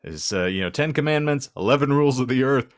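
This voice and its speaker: A man's voice: medium pitch, lively intonation, quick pace. A man hosting a comedy podcast, speaking in a wry, wordy, mock-grandiose way as he banters and signs off. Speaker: podcast host.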